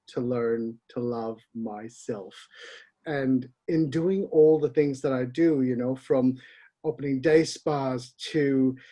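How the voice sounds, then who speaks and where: Husky middle-aged man, chatty and laughing. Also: medium pitch, warm tone. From Malaysia. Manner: laughs often, rambling and open. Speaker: founder being interviewed. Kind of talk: casual interview chat.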